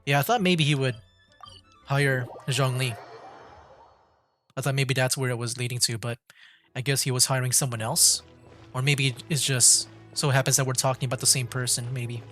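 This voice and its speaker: A man's voice: medium pitch, fairly even intonation, moderate pace, long pauses, a bit bright, soft background music. A man streaming a game with casual, easygoing, rambling commentary. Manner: easygoing, chuckling, rambling asides.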